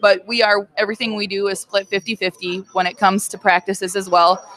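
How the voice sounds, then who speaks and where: A woman's voice: medium pitch, little pitch movement, a little fast, poor audio. A woman in her thirties, a girls basketball head coach, answering interview questions in a plain-spoken way with many fillers. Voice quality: loud voice.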